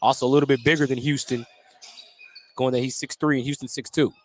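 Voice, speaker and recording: A man's voice: mid-range voice, steady tone, fast talker, a little hiss or hum. A man doing live play-by-play basketball commentary on the radio in a jokey manner. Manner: jokey, often chuckling. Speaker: play-by-play announcer.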